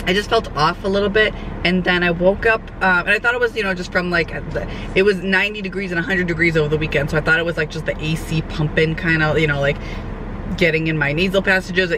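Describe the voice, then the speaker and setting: A young woman, a fitness trainer, chats casually in a vlog update, her voice hoarse and nasal from a cold. She rambles with lots of fillers. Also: lowish voice, fairly even intonation, fairly quick. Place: sitting outdoors, traffic nearby. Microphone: camera mic. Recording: outdoor camera audio with traffic noise.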